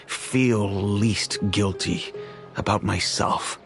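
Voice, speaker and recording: A dignified middle-aged merchant father with a low voice. He speaks formally and carefully, earnest and weighed down by remorse, as in a game cutscene. Music plays clearly behind him. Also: fairly even intonation, drawn-out words. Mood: remorseful. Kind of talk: video game voice acting, confessional dialogue.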